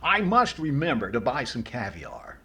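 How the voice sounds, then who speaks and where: A man playing a fussy, stingy, bemused comedian in an old-time radio comedy, with deadpan pauses. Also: medium pitch, heavily acted. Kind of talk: 1940s radio comedy acting. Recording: noisy, laughing audience behind.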